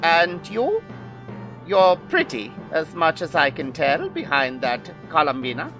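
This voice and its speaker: A man's voice: a little high, wildly swooping pitch, unhurried, over music. A man playing a pompous, aggrieved mad scientist villain in an audio drama, with grandiose theatrical delivery. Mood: condescending.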